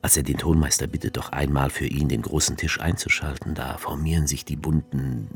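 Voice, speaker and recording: The author in a radio play and narrates wryly in the first person. His voice is extremely deep and gravelly, with soft music underneath. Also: steady tone, brisk.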